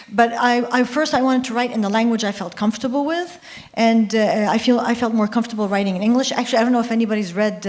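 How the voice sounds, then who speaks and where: A woman's voice: mid-range voice, a little mellow. A woman whose first language is Arabic, answering as an author on a conference panel, conversational and self-correcting. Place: conference room.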